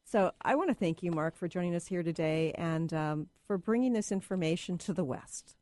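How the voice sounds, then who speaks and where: A woman hosting a radio talk show, chatting casually with a guest, often pausing with fillers. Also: fairly low pitch, dark tone, dry recording, studio quality. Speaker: radio talk show host and energy healer. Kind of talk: casual interview chat.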